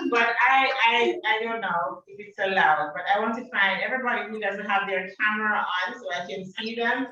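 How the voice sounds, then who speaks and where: Bright-voiced woman in an echoey room. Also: mid-range voice. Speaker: meeting chair. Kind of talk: running an online meeting. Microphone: video call. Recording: very echoey, distant, dull-sounding.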